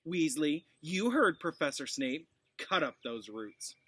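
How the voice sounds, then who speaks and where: A man's voice: fairly high pitch, sing-song, slow pace, fairly bright tone, slightly rough, dry recording, full character voice. A man voicing a smug, spoiled schoolboy bully with a drawling, mock-pitiful delivery in a storybook reading.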